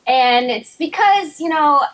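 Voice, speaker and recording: A woman guest in a casual interview, soft-spoken, laughing often and restarting her sentences. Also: sits high, expressive, takes it slowly, poor audio.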